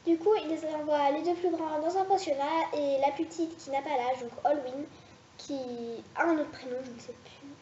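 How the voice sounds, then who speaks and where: High-voiced hesitant young woman, roomy lo-fi. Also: steady tone, normal speed, dark tone. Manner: hesitant, rambling, many restarts. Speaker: web series creator. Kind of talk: casual vlog pitching story ideas. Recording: roomy, dull lo-fi sound with faint hiss.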